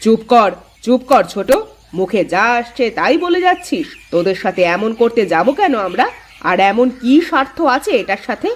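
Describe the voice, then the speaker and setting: A woman voicing a sensible, patient elder daughter-in-law in a village cartoon, measured and admonishing. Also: fairly high pitch, big pitch swings. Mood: indignant. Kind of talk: cartoon voice acting.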